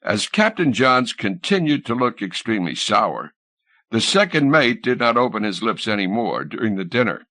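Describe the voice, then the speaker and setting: A man's voice: fairly low pitch, big pitch swings, moderate pace, sharp tone, dry recording. A man reading literary fiction aloud as a scripted audiobook narrator, recorded close to the microphone. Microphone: close studio microphone, dry sound.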